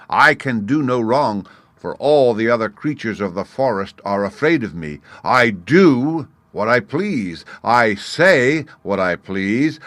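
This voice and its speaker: A man's voice: mid-range voice, a bit bright, no echo, pitched above the performer's own voice. A man voicing a boastful male lion who thinks he is king of the forest, roaring and blustering.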